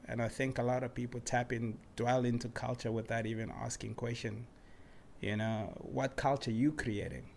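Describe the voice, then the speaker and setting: A man's voice: medium pitch, moderate pace, creaky, slight background noise. Laid-back reflective man. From Democratic Republic of the Congo, raised in Cape Town. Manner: relaxed and reflective, often adds "man" and "you know". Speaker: guest artist. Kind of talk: casual interview conversation.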